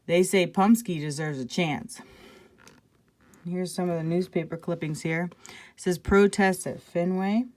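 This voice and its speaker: A woman's voice: lowish voice, a little mellow. A woman teacher reading a children's picture book aloud expressively, with casual asides to her listeners.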